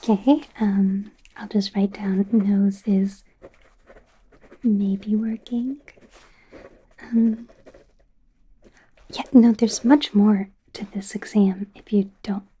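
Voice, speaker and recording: A woman voicing a young werewolf medical student in an ASMR roleplay, in a soft, breathy whisper with a hesitant, rambling, self-conscious manner. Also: mid-range voice. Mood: friendly, hesitant. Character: young female werewolf medical student, awkward, eager, kind.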